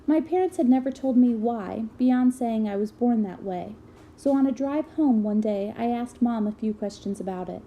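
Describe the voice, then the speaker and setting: A woman reading a book aloud as an expressive narrator. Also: a little high, normal speed, slight background noise, rough recording. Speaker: reader of a children's book aloud.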